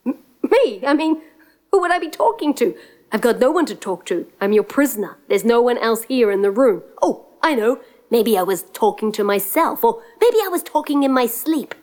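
A woman voicing a young prince who talks fast and nervously in casual slang. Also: very high-pitched, expressive. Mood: chatty.

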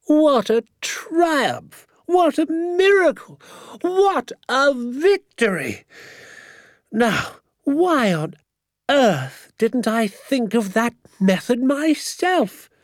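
A tiny, gleeful village doctor from a storybook. The voice leaps very high and swoops about, stretching every exclamation with effusive delight. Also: male voice, big pitch swings, very slow, no room sound, studio quality, heavily acted. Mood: overjoyed, amazed. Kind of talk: storybook character voice.

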